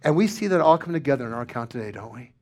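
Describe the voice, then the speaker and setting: A man preaching a sermon, moving from loud, emphatic lines to hushed, near-whispered ones. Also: medium pitch, big pitch swings, very fast. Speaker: pastor.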